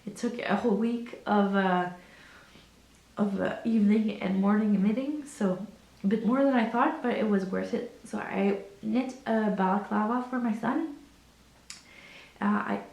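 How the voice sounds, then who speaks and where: A woman in her thirties talking casually in a vlog, her voice hoarse and croaky from a cold, calm and unhurried with many fillers. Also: medium pitch. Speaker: vlogger. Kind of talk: casual chat. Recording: echoey room.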